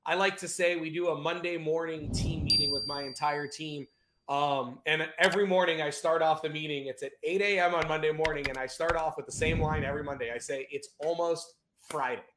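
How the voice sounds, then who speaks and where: A man's voice: on the high side, a little fast, noisy background. Chatty upbeat guy hosting a video chat, bit of echo around him. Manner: upbeat, chatty, energetic opener. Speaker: host. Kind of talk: casual video interview.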